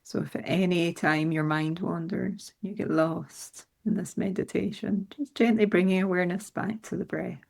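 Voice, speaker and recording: Woman guiding meditation, creaky low voice. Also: fairly even intonation. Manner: soothing, unhurried phrasing with gentle instructions. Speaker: meditation guide. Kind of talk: guided meditation narration.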